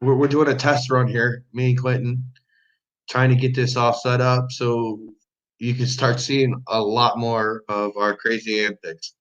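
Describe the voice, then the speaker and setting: A man's voice: medium pitch, little pitch movement, measured pace. A man co-hosting a livestream test, chatting casually and easygoing. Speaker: livestream co-host. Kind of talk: casual chat. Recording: lo-fi livestream audio.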